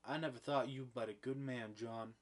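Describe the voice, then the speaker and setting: Brief: man voicing a reserved farmer's wife, measured, restrained, mid-pitched. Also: fairly even intonation, lightly acted. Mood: calm.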